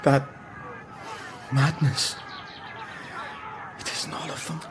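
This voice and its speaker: An actor playing an earnest, lovestruck young wounded soldier in a radio drama, fraught and halting. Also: male voice, on the high side, level tone, crowd noise, noisy background. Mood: shaken.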